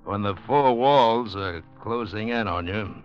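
A man announcing in an ominous, measured 1940s dramatic radio style. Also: lowish voice. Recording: dull vintage radio recording, faint music.

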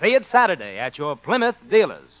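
A man's voice: high voice, wildly swooping pitch, a little fast, over music. A man announcing 1950s radio ad slogans in a punchy, emphatic way. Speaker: commercial announcer. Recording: dull old radio broadcast.

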